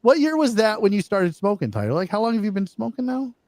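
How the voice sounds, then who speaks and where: A man's voice: sits high, fast, dry recording. A man in his forties hosting a podcast, interviewing a guest in a joking, teasing way. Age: 40s. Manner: joking, teasing, animated delivery with laughs. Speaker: podcast host. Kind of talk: casual chat, interviewing a guest.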